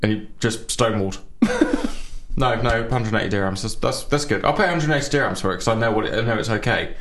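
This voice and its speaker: A man's voice: on the low side, fairly quick, roomy sound, noisy background. Dry man telling rambling stories. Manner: dry, rambling anecdotes with chuckles. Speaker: co-host. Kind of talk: casual comedy radio chat.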